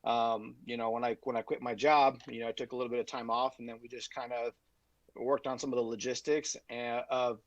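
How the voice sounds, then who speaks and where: A man's voice: mid-range voice, little pitch movement, a little fast, no room sound, lo-fi sound. A man being interviewed as a podcast guest, talking casually and rambling with many fillers and restarts. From Utah, USA.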